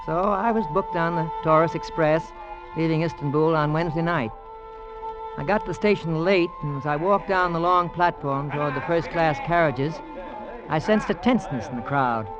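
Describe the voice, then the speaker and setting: An American oil engineer, played by an actor, recounting adventure in a fairly high male voice. He is earnest and measured, in old radio drama style. The sound is muffled vintage radio with music clearly present. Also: close to an everyday voice. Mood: earnest, suspenseful. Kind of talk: old-time radio drama narration and dialogue.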